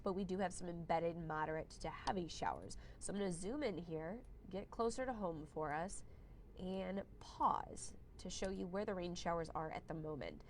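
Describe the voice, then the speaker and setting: A young adult woman meteorologist giving a friendly, conversational live weather forecast while fighting a cold, now and then clearing her throat. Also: lowish voice, slight background noise. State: has a cold, slightly hoarse.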